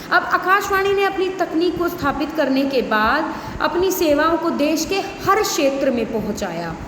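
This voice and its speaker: A woman giving an educational lecture, reading out notes and then explaining them with frequent restatements and check-in tags. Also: high-pitched. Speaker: teacher. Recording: echoey reverberant room with background hiss.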